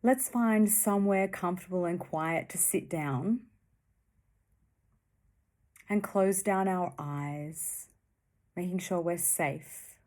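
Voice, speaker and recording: A woman leading a guided meditation in a soothing, gentle manner, leaving long pauses between short phrases. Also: fairly low pitch.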